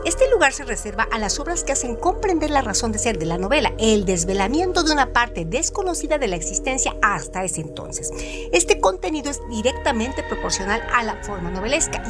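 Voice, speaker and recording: A woman host reading a scripted trivia segment in a measured, expressive way. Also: high voice. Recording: music playing underneath. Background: background music.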